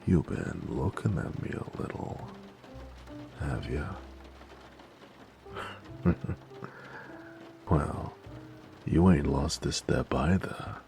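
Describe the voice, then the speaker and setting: A man's voice: a bass voice, lively intonation, very slow, creaky, long pauses, a little mellow, full character voice. A man playing a flirty, cocky mafia wheelman and former cabbie in a noir audio roleplay, with an American accent and a teasing, slangy tough-guy drawl. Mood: cocky, flirtatious. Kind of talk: romantic noir audio roleplay, intimate one-sided dialogue. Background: music.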